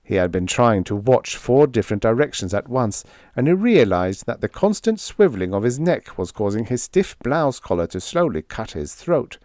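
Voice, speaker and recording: A man narrates a story as an audiobook reader, with a measured and deliberate delivery. His medium-pitched voice sits in a dry recording with very little room sound. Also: very animated, darkish tone, no echo. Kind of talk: scripted fiction narration.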